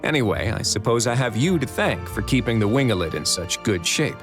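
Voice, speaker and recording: A man voicing a composed, dry-witted duke in a video game, measured and wry. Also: on the low side, big pitch swings, music in the background. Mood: calm, appreciative.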